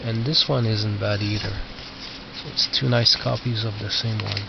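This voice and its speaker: A man giving casual, unscripted commentary as a record collector, halting and muttering asides to himself. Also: lowish voice, steady tone, gravelly. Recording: lo-fi, noisy, distant mic with clicks.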